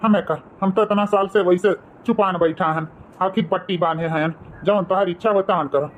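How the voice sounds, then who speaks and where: A weary old village father-in-law, as a performer voices him in a cartoon, talking in a resigned, pleading Awadhi accent. His voice sits at a middle pitch. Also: fairly even intonation, moderate pace, dull audio, slight background noise, lightly acted.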